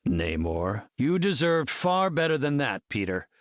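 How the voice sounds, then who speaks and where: A man's voice: mid-range voice, big pitch swings, a little slow, dry recording, studio quality. A man playing an earnest, brainy scientist superhero in a video game, speaking in a composed, formal, articulate way. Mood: calm, reflective. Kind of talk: video game hero voice lines.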